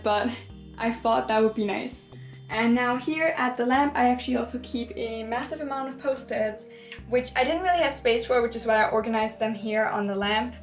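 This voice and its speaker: A young woman, a native German speaker, chats her way through a tour of her dorm room, stressing words by saying them twice. Her voice sits a little high, with faint music playing under it. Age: young adult. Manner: chatty, repeats words for emphasis ("very, very"). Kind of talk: casual tour narration.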